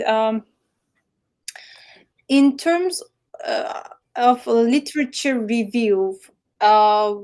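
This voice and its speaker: Moderator, female, a little high-pitched, strings her questions out at length, over a video call. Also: darkish tone. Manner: long, winding questions. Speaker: workshop moderator. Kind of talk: moderating an online Q&A.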